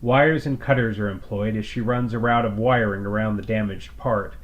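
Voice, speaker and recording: A man's voice: on the low side, fairly even intonation, normal speed, highs cut off. A man narrating a scripted science fiction story as its storyteller.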